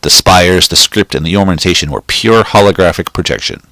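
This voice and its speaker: Man, very low voice, story reading, measured pace, dry close recording. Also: dry recording. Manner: steady, measured reading with occasional slips. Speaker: reader. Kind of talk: reading a short story aloud.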